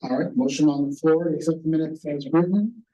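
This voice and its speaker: Man, slightly hoarse, board chair, very dark tone, methodical procedural delivery. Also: on the high side, fairly quick, lo-fi sound. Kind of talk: chairing a public meeting.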